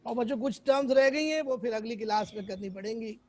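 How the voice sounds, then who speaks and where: A hoarse man teaching a chemistry class online, quizzing students and repeating English definitions. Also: very high voice, fast, a little mellow.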